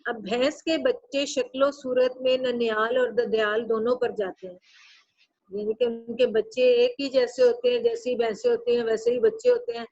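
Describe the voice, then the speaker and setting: A woman teacher with a Pakistani Urdu accent reads a text to her class and explains each line in simpler words, jumping to a high pitch when she stresses a point. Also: moderate pace, a little mellow, no echo. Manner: reads a line aloud, then restates it in plainer words. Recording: dull, muffled sound.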